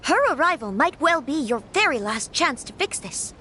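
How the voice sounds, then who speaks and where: An actress playing a gentle young noblewoman in an anime fantasy game, troubled yet firm, with soft, earnest, formal phrasing. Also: high voice, sing-song, moderate pace, slight background noise, heavily acted. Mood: firm, reproachful.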